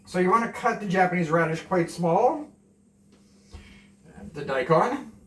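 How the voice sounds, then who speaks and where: A man's voice: high-pitched, lively intonation, a little slow, a bit bright. A man from Canada in his forties chatting casually while cooking at home, rambling and self-deprecating, a bit nervous on camera. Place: small home kitchen, indoors. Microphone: camera a few steps away. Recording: roomy, distant camera mic.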